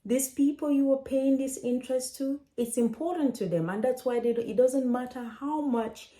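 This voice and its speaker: A woman's voice: sits high, normal speed, dark tone, reverberant. A woman in her late twenties from Cameroon, hosting a casual advice vlog and talking straight to viewers in a chatty, direct way. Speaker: financial coach and host.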